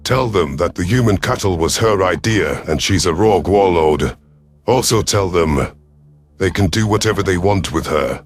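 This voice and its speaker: A man's voice: low-pitched, expressive, moderate pace, soft background music. A man playing a stern, sardonic alien predator commander in an audio drama, with a commanding, growling manner. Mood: sly, commanding.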